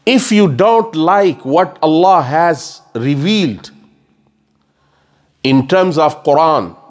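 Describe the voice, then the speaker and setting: A man's voice: fairly high pitch, animated, slow. A middle-aged man giving a sermon lecture in a mosque, explaining earnestly and repeating phrases as he gestures, into a stand mic on a table. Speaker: religious scholar. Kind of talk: sermon lecture with Quran recitation. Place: mosque lecture room, indoors.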